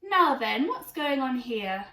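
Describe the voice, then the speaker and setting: A woman's voice: high voice, big pitch swings, slow pace, bright, forceful tone, reverberant, recorded from a distance, heavily acted. A woman voicing a hearty, unflappable policeman hero in a storybook read-aloud, bold and teasing. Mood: booming, challenging.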